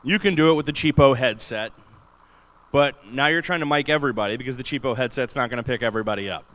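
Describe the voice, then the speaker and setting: A man with a booming voice giving an enthusiastic, chatty panel talk in a large convention room. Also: quick pace, fairly bright tone, rough recording. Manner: enthusiastic, chatty, laughs often. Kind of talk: casual panel talk on streaming gear.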